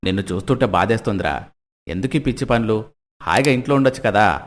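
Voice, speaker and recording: A man voicing an older village father, stern but caring, with a concerned paternal manner in an animated moral story. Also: lowish voice, fast, natural voice. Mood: worried.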